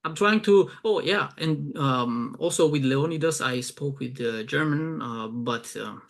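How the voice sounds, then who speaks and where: A young Georgian-accented man with a gravelly, slightly raised voice chats as an interview guest. He talks haltingly, full of fillers and restarts. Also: on the high side. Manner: hesitant, many fillers and restarts. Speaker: guest, promotions director. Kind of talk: casual interview chat.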